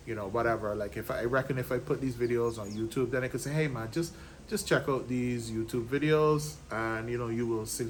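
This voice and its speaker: A man hosts a podcast in a low, easygoing voice. He talks loosely, with frequent ums and you knows. A faint hiss of noise sits under the recording. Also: low-quality recording. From Barbados. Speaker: podcast host, lawyer. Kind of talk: casual explanatory monologue.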